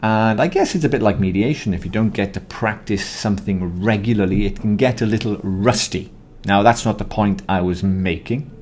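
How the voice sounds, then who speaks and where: Low-voiced man telling a story. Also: moderate pace, darkish tone. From Wales. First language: Welsh. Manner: storytelling with playful asides. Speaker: podcast co-host. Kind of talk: conversational podcast chat.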